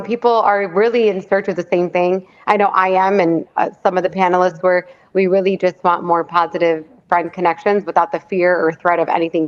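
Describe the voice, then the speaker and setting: A woman who works as a nurse, chatting as a guest on a video call. She is bubbly and rambling, with many ums. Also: lowish voice. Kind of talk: casual chat. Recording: dull lo-fi video call audio.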